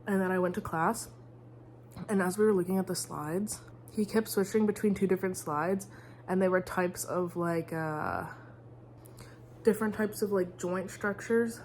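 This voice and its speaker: A woman's voice: fairly low pitch, moderate pace, darkish tone, slight background noise. A young woman vlogging in casual, rambling chat, sniffly and full of "like". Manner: rambling, chatty, heavy use of "like".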